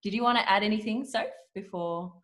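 A woman's voice: mid-range voice, a little fast. A woman hosting a podcast interview, chatting casually with many fillers and little laughs. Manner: warm-hearted, chatty, with frequent fillers and laughs. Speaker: podcast host.

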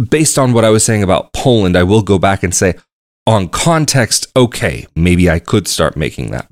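Man, low voice, casual rambling monologue, travel podcast host, dry close sound. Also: no room sound. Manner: rambling, conversational, thinks aloud.

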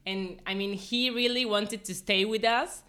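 Bright-voiced young woman, earnest and informal. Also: mid-range voice, fairly even intonation, measured pace. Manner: earnest, informal, frequent "like" and "you know". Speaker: podcast guest, former volunteer. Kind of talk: casual personal storytelling in an interview.

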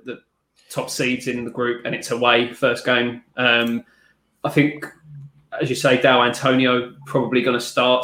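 A man's voice: medium pitch, fairly bright tone. A man talking football casually as a podcast panellist, in an informal, colloquial way. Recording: roomy, echoing sound.

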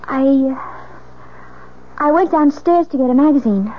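An actress playing an anxious, doubting newlywed bride in a 1970s radio drama, speaking hesitantly. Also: female voice, sits high, takes it slowly, a little hiss or hum. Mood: uneasy, evasive. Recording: dull old radio broadcast with music.

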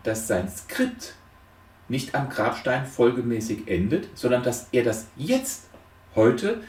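A man giving an explanatory lecture with storytelling, speaking deliberately with dramatic emphasis. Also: medium pitch, very animated, a little mellow. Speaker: lecturer on psychotherapy. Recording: roomy echo, distant microphone.

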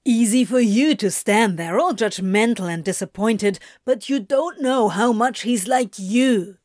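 A woman's voice: mid-range voice, animated, slow pace, no room sound, studio quality. A woman voicing a flustered, defensive, foul-mouthed male supernatural being who mutters and snaps evasively in an audiobook story. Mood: snappish. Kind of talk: fan fiction audiobook character voice.

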